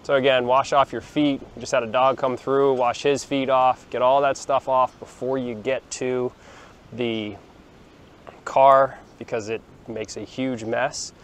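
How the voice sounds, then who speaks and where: A man's voice: mid-range voice, level tone, a little slow, clean tone. A man in his forties giving a chatty walking tour to his phone camera outside by the beach, with plenty of ums and restarts. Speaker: vlogger showing a beach town. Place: outdoors, sunny beachfront plaza and beach. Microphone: phone held at arm's length, small clip-on mic.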